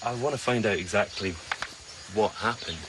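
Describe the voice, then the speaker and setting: Low-voiced man presenting a wildlife documentary. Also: moderate pace, heavy background noise. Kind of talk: scripted narration and on-location explaining.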